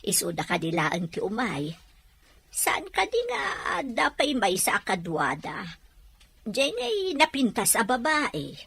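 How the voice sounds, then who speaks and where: An actress playing a gentle, wistful woman in an audio drama, with a slightly husky voice. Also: mid-range voice, wildly swooping pitch, measured pace, exaggerated voice. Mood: gentle, curious. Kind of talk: Ilocano audio drama acting.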